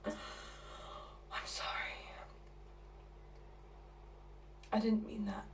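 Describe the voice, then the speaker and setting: A woman acting a theatre monologue as a flustered, exasperated partner, halting and repeating herself as she argues. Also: high voice, expressive. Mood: apologetic, hesitant. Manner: halting, stammering, repeating herself, bursts of agitation. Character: flustered, exasperated woman answering her partner's unwelcome proposal.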